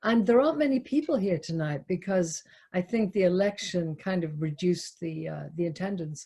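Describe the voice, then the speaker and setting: A woman's voice: lowish voice, lively intonation, fast, mellow, slightly rough. A woman leading a reflective discussion with an audience, speaking hesitantly and repeating words and phrases for emphasis.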